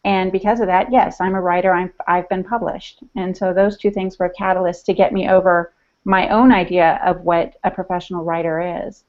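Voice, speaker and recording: A woman's voice: lowish voice, level tone, normal speed, darkish tone. A woman chatting casually as a podcast co-host, in a conversational, bantering style. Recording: dull lo-fi podcast audio.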